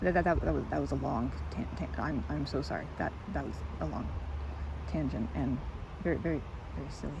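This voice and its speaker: A woman playing a timid, excitable park regular in an ASMR roleplay, stammering and chattering apologetically in a one-sided conversation. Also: a bass voice, a little fast, gravelly, background noise, heavily acted. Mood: flustered, apologetic. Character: timid, rambling young woman, excitable park regular who loves ducks.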